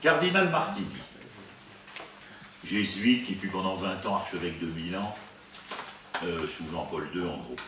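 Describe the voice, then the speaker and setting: Priest speaking in a very echoey room. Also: male voice, deep voice, expressive, a little slow, pauses often, fairly bright tone. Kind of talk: spiritual conference, commenting and reading out quotations. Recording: echoey room, distant mic, faint noise.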